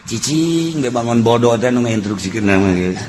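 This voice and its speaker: A man with a Sundanese accent preaching in an animated, earthy, joking storytelling style. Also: medium pitch, normal speed, slight background noise. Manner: animated, breaks into shouts and laughter. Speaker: Islamic preacher. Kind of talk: humorous storytelling sermon.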